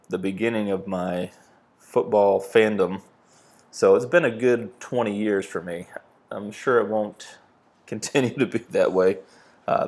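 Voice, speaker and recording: A man's voice: fairly low pitch, gravelly. A man in his thirties from New England, chatting easily as a podcast guest, telling stories with plenty of filler words. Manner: easygoing storytelling with frequent fillers. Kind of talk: casual chat.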